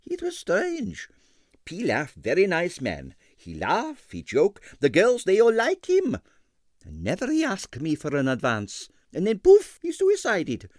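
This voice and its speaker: A man's voice: high voice, huge pitch swings, unhurried, no room sound, studio quality, voice pushed up, exaggerated voice. A man voicing a sentimental, money-minded ballet impresario in a storybook, effusive and theatrical, with a thick foreign accent and broken English. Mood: mournful, puzzled. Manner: theatrical, effusive, French interjections.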